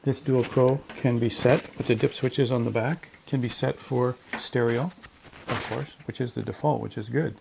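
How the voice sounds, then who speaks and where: A man's voice: medium pitch, fairly even intonation, normal speed, gravelly. A man giving a chatty, explanatory hands-on demonstration in a casual style, speaking into a headset or lapel mic. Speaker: product demonstrator, pilot. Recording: faint background noise, headset and lapel mics.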